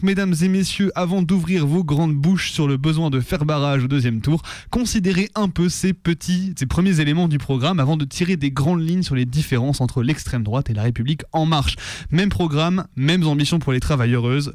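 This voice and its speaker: A man's voice: fairly high pitch, normal speed, darkish tone, no room sound, studio quality. A man co-hosting a live radio show in a casual, informal and conversational way.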